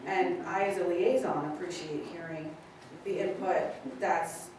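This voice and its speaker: A woman giving polite, measured, formal remarks as a liaison at a public meeting. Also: lowish voice, steady tone, darkish tone. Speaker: committee liaison. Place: indoor public meeting room. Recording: distant mic, very echoey noisy meeting room.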